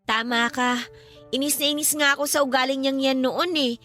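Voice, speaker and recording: Young grieving sister in a radio drama, high bright voice, earnest n reassuring, music behind. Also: female voice, fairly even intonation, moderate pace. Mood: wistful, reflective. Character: grieving, devout younger sister consoling her older friend. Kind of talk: Filipino radio drama acting.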